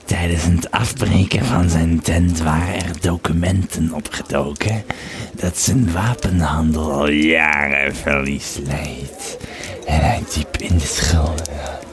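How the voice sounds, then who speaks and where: Man playing low, slow Roman legionary storyteller. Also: fairly even intonation, crowd noise, exaggerated voice. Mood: brooding. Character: Roman legionary recounting grim camp intrigue to listeners. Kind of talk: first-person audio drama storytelling.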